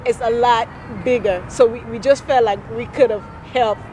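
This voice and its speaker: A Cameroon-born woman in her thirties talks about her work in an earnest, halting way. She often restarts her sentences. Her voice sits a bit high, with faint street noise behind her. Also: fairly even intonation, warm tone. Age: 30s. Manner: earnest, with frequent restarts and laughs. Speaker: nonprofit founder. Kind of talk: conversational interview answers.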